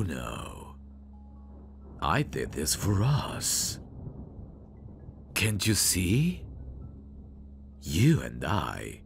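A man playing a possessive, creepy, doting mad scientist in an intimate ASMR roleplay, theatrical and breathy, slipping into whispers. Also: very deep voice, heavily acted. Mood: fervent, grandiose. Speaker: ASMR voice actor. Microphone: close microphone. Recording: close-miked voice over clear music. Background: music.

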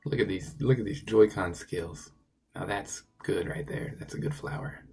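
A man with a hoarse, sore-throated voice giving casual, chatty commentary while playing a video game, talking to himself and to the game. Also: fairly low pitch, animated, normal speed, darkish tone, faint music behind. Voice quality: gravelly, raspy. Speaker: let's play gamer.

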